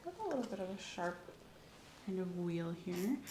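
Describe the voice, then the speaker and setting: A woman speaking softly and at times whispering in an ASMR medical roleplay, giving gentle, reassuring instructions as a doctor. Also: lowish voice, expressive, a little fast, reverberant, noisy background. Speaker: ASMR performer playing a doctor doing a medical exam.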